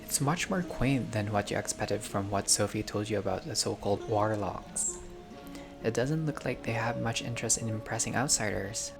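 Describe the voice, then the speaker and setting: A game streamer with a mid-pitched voice reads visual novel narration aloud over clearly audible music. The delivery is expressive, slipping between storytelling and casual, chatty commentary. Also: moderate pace. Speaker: gaming content creator reading a visual novel aloud. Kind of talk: playthrough narration with casual reactions. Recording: music behind the voice.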